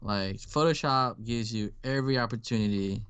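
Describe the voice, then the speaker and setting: A man from Dallas, with a voice on the low side, chats as a guest on a live drawing stream. He talks in a relaxed, rambling way and swears casually. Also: normal speed, clear, resonant tone. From Dallas, Texas. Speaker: guest artist.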